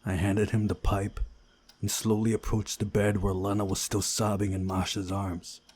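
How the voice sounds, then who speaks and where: A man reading a visual novel aloud as narrator, in a measured storytelling way with a husky voice. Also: on the low side, little pitch movement, a little mellow. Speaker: gaming video narrator.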